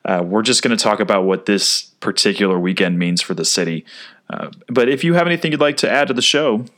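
Low-voiced man in his thirties, easygoing podcast host, rambling and chatty. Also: animated, fairly quick, fairly bright tone. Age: 30s. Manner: chatty and rambling, with many "uh" fillers and restarts. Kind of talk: casual solo podcast monologue.

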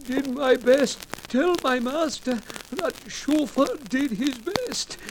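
A high-voiced, desperate dying boatman. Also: lively intonation, heavily acted. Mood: weak, urgent. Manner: pleading, halting. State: gasping from a mortal wound. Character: dying Egyptian boatman, wounded, desperate loyal servant. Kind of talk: 1940s radio acting. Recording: old radio recording, faint noise, clicks.

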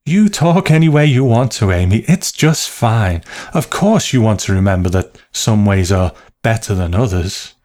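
A man's voice: low-pitched, moderate pace, hardly any pauses, a little mellow, close to an everyday voice. A man voicing a nervous, placating mother in an audiobook story, speaking with cautious, anxious care.

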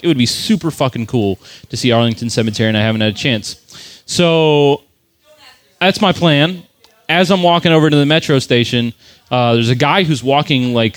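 A man's voice: medium pitch, dry recording. A man casually telling a long story, rambling, swearing freely and filling his speech with "like". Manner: rambling, profane, lots of "like" fillers.

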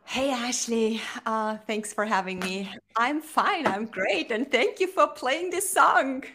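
An earnest woman from Austria talks as a guest on a call. Her voice sits a little high and she takes her time with each thought, pausing as she searches for words. She sounds sincere and uplifting. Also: expressive, bright, forceful tone. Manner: earnest, inspirational, slightly halting non-native phrasing. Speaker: podcast guest, brand strategist. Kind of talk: conversational interview.

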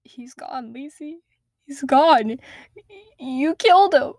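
A woman's voice: sits high, a little slow, a little mellow, no echo, clean studio sound, voice pushed up. A choked, tearful mother, as a woman reads her. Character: tearful mother, whispering, overwhelmed.

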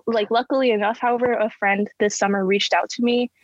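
A woman's voice: medium pitch, fairly even intonation, brisk, dry recording, dull audio. A young woman, a university student, speaking as a podcast guest in an earnest, self-deprecating way. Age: young adult. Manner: earnest, self-deprecating, many fillers and restarts. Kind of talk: casual interview conversation.

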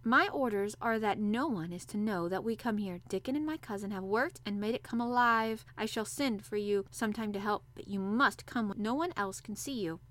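A woman voicing an imperious, excitable young boy in a storybook, speaking with haughty, emphatic command. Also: medium pitch, normal speed, few pauses, natural voice. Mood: lordly, decided.

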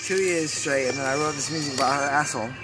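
Brief: man in his 30s, high animated voice, crude boastful street rambler, loud music behind. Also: fast, rough recording. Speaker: street musician being interviewed. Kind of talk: rambling crude joking chat.

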